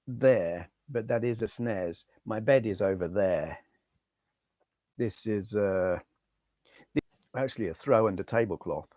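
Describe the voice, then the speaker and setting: A middle-aged man with a hoarse voice, chatting casually to viewers on a retro gaming live stream and reading out their names. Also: on the low side, a little mellow, no room sound. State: hoarse, losing his voice.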